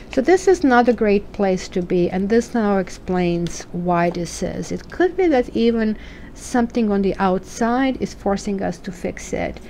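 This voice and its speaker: A woman's voice: mid-range voice, mellow, a little hiss or hum, rough recording. A woman from Croatia with a Croatian accent, reading tarot cards in a chatty, musing way and asking questions aloud. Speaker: tarot reader.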